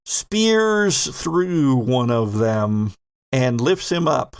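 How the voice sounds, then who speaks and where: A man narrating a horror tabletop roleplaying game as game master, with dramatic, drawn-out delivery. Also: mid-range voice, lively intonation, clean tone, dry recording, clean studio sound.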